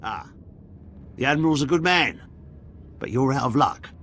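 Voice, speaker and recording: A man playing a brisk, defensive military captain in a video game. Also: mid-range voice, animated, a bit bright, a little hiss or hum, lightly acted. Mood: guarded, defensive.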